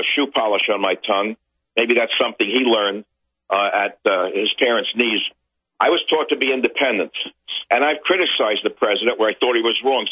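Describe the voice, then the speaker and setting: A male guest on a political talk show, ranting indignantly and climbing to loud outbursts. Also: on the low side, breathy edge, dry recording, phone-quality sound. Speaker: guest commentator.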